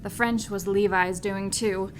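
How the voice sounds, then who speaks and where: A woman playing a blunt, deadpan space-station nurse in a sci-fi comedy audio drama, sounding wry and exasperated. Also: mid-range voice, unhurried, faint music behind, lightly acted.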